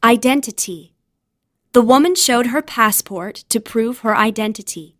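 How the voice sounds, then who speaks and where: Lady reading vocab for a school lesson, highish voice, dry sound. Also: unhurried, a bit bright, no echo. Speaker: vocabulary narrator. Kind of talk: scripted educational narration.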